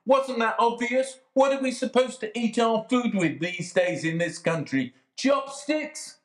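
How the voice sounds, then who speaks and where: An actor playing a sly, self-pitying jailed man who swings between hushed confiding and sudden outbursts. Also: very high-pitched, measured pace. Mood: indignant. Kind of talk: dramatic monologue to a visitor. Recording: echoey room.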